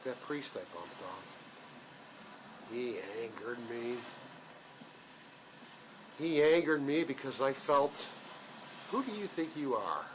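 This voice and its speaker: An actor playing a remorseful escaped convict who questions himself haltingly in naturalistic drama, recorded on location. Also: male voice. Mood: subdued, reflective. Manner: searching, self-questioning, halting. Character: escaped convict, brooding, remorseful man wrestling with guilt. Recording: noisy location sound with steady ambient rumble.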